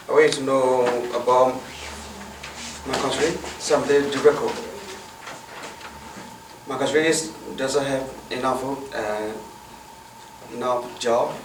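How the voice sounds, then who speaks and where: A man from Thailand, a student, telling a personal story in halting, simple learner's English. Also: medium pitch, background noise. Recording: echoey room from a far mic.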